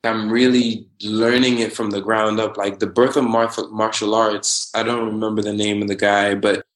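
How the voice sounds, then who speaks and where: A man's voice: on the low side, moderate pace. Laid-back man in his twenties from Trinidad. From Trinidad and Tobago. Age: 20s. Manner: animated, laughs often, spiritual slang-heavy talk. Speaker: podcast guest. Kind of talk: casual conversational interview.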